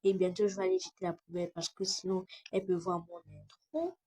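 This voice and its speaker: A woman's voice: on the low side, level tone, brisk, dark tone, husky. A woman doing amateur role-play storytelling for a homemade video skit.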